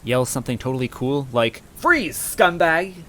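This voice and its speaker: A man as a girl sidekick creature, raised pitch, eager, urgent, restless, storybook reading, faint rain noise. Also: big pitch swings, measured pace, a bit bright. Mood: eager, excited. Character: excitable, eager young female sidekick creature.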